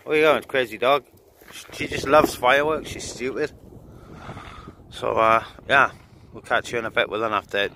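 A man's voice: mid-range voice, fairly even intonation, normal speed, pauses often, sharp tone. Man vlogging casually, noisy outdoor recording. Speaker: radio hobbyist vlogger. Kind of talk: casual vlog chat. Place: outdoors at night on a hillside. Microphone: handheld camera or phone.

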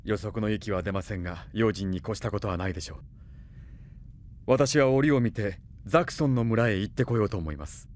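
An actor playing a calm, polite court wizard advisor with a measured manner in an anime audio drama. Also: male voice, on the low side, close to an everyday voice. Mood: calm, serious.

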